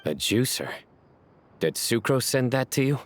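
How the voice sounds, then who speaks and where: A man's voice: medium pitch, big pitch swings, unhurried, a little hiss or hum. An actor playing a practical, caring young male mentor in a video game, considerate and matter-of-fact. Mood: attentive.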